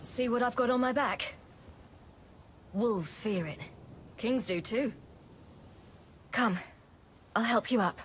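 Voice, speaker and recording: An actress voicing a calm, protective young swordswoman in a fantasy game, gentle but firm. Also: a little high, takes it slowly, pauses often, slight background noise. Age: young adult.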